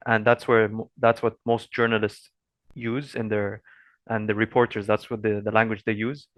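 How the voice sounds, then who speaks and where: A man asks questions in a lowish, dry voice. He talks in a casual, rambling way, filling pauses with "like" and "you know.". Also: little pitch movement, no echo. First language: Arabic. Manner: rambling with fillers. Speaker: interviewer. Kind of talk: casual interview talk.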